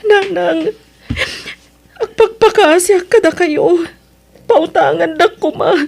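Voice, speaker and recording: A woman's voice: extremely high pitch, takes it slowly, creaky, a little mellow, exaggerated voice. A woman playing a remorseful grown daughter, tearfully pleading in an Ilocano radio drama. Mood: weeping, remorseful. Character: remorseful grown daughter begging her mother for help.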